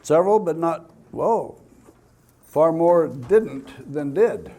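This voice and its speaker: An older man giving a security talk as an expert speaker, in deliberate, measured phrases with pauses. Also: a little high, expressive, warm tone. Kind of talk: public training talk with personal anecdotes.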